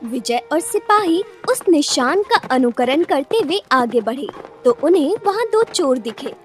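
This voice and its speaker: A woman's voice: high voice, big pitch swings, a little slow, faint music behind. A woman narrating a scripted moral story in storybook style.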